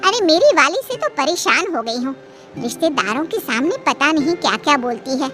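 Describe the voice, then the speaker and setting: A woman's voice: high voice, sing-song, moderate pace, loud music behind the voice. A woman voicing an exasperated middle-aged mother-in-law who complains and bosses in a story. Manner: complaining, bossy.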